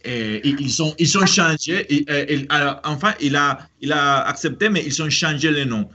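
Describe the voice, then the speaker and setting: A man with a Spanish accent and a forceful, bright tone, hosting a relaxed conversation. He talks haltingly, with frequent fillers and restarts. The recording sounds dull and lo-fi. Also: on the high side. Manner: hesitant, many fillers and restarts. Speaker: host. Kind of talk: casual conversational chat.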